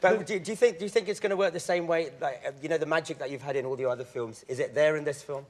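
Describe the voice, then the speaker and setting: Higher-voiced male radio interviewer. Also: racing, slight background noise. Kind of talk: casual onstage interview. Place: radio theatre stage.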